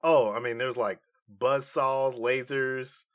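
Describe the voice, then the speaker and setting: A man co-hosting a casual pop culture podcast, talking in a jokey, excitable way. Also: medium pitch, takes it slowly. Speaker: podcast co-host.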